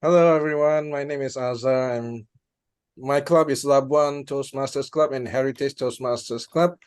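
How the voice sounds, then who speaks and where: A man from Sabah, Malaysia, introducing himself as a guest in a halting way on a video call. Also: mid-range voice, steady tone, clean tone. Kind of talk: self-introduction.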